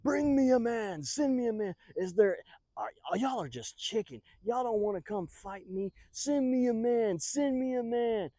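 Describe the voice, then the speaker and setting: A man voicing a belligerent giant warrior yelling mocking challenges in a Bible story. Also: extremely high pitch, animated, moderate pace, no echo, clean studio sound, pitched above the performer's own voice. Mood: taunting. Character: huge taunting giant warrior, male, belligerent.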